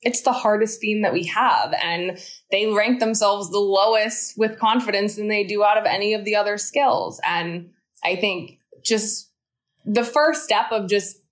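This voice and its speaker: Thirties woman, interview guest, earnest and encouraging, mid-pitched, talks in flowing explanations. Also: unhurried. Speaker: guest, founder of a mental fitness studio. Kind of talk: interview conversation.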